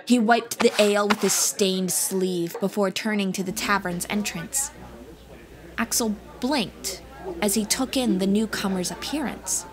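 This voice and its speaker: A woman's voice: medium pitch, measured pace, crowd noise, rough recording. A woman narrating a scripted fantasy audiobook as a storyteller. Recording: music playing behind.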